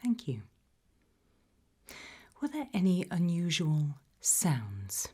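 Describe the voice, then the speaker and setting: Female, British, low-pitched and breathy. Gentle, whispered ASMR interviewer, calm and reassuring. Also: slow pace. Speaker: ASMR roleplay host playing an abduction investigator.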